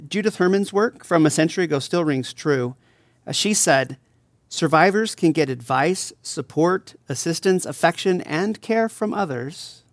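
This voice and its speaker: A man's voice: on the high side, expressive, normal speed, dry recording, studio quality, natural voice. A man acting as a thoughtful advocate interviewed in an audio drama, explaining earnestly and didactically. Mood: earnest, explanatory. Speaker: interview guest.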